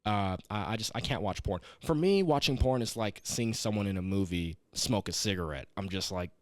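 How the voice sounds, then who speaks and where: A man's voice: fairly low pitch, lively intonation, moderate pace, darkish tone, dry recording, studio quality. A young adult man co-hosting a casual comedy podcast, swearing often, stumbling over his words and poking fun at himself. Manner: profane, stammering, self-deprecating. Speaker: podcast co-host.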